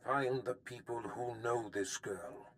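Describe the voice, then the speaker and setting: A scheming sith master, medium pitch, theatrical and talking down, evil video game boss. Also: male voice, slow pace, a bit bright. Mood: urgent, commanding. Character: scheming, imperious male Sith Lord master, cruel.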